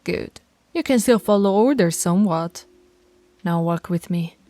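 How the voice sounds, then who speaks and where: A woman voicing a stern, commanding female werewolf pack alpha in an ASMR audio roleplay, bossy and scolding, sometimes dropping to soft whispers. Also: fairly low pitch, a little slow, no echo, faint music behind, lightly acted.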